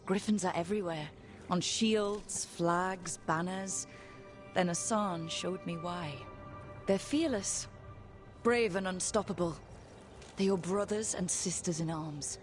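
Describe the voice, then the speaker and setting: An actress playing a warm, encouraging young heroine, earnest and lightly teasing, in a cinematic game scene. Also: female voice, on the low side, close to an everyday voice. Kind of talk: cinematic video game acting.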